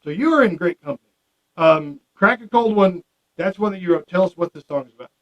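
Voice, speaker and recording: Male radio interviewer, slightly high pitch, quick, chatty, enthusiastic studio delivery. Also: expressive, rough recording. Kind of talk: casual radio interview chat.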